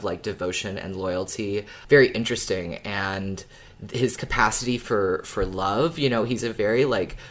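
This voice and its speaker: A woman co-hosting a podcast in casual discussion, with thoughtful, tentative phrasing. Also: extremely low pitch. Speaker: podcast co-host.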